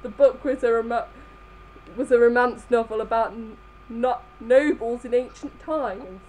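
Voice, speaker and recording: A woman's voice: a little high, measured pace, a little mellow, poor audio. A woman reading fan fiction aloud live, stumbling over the text as she goes. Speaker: reader in a group comedy reading.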